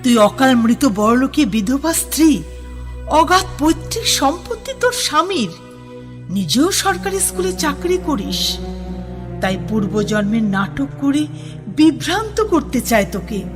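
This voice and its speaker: A firm, protective older sister figure, her high voice swooping widely as she warns her friend in a slow, deliberate radio play delivery. She presses each point with care, turning gentle and pleading by the end. Music plays under her lines. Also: sing-song. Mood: warning. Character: stern older colleague sister, protective woman. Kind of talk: radio drama acting.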